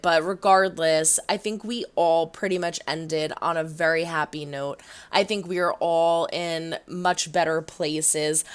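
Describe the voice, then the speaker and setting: A woman hosting a casual reaction vlog, chatty and animated, her voice going hoarse. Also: fairly low pitch. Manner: chatty, animated, rambling with restarts. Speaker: reaction video host.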